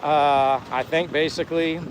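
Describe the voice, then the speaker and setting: A man's voice: on the high side, fairly even intonation, measured pace, poor audio. A man chatting casually on a walking livestream, reading out viewer comments and thanking people in a friendly, effusive way. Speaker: livestream host. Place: outdoors on the move, near traffic. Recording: clear outdoor traffic and engine noise.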